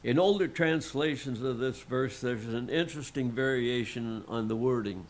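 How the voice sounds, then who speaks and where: A middle-aged man, a lay pastor, preaching a sermon in an earnest, folksy way, with a frog in his throat that makes him clear it now and then. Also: mid-range voice, normal speed.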